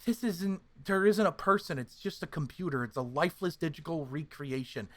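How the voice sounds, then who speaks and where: A man's voice: fairly high pitch, brisk. A man hosting a podcast, giving opinionated casual reviews in an emphatic, sweary way. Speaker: podcast host.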